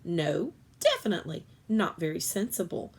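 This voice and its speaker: A woman's voice: lowish voice, wildly swooping pitch, normal speed, vocal fry, breathy edge, heavily acted. A woman voicing an exasperated, wry mother in a children's story, sounding resigned and blunt.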